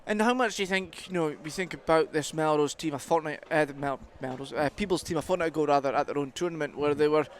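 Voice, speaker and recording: A man's voice: fairly high pitch, quick pace, hardly any pauses. A Scottish man giving live play-by-play commentary on a rugby match. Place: commentary box at a rugby ground. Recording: outdoor ground with clear background noise.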